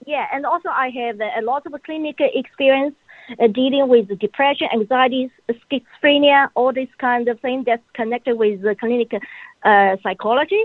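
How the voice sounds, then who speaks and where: Female guest, halting and filler-heavy, heard over a dry, tinny phone line. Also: high voice, no echo. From China. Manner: halting, frequent uh fillers, non-native grammar. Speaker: guest, PhD in psychology. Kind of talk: phone-in interview answers.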